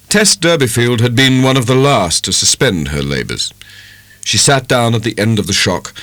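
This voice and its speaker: A man giving an expressive, measured narration of a literary audiobook. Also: fairly low pitch, no room sound.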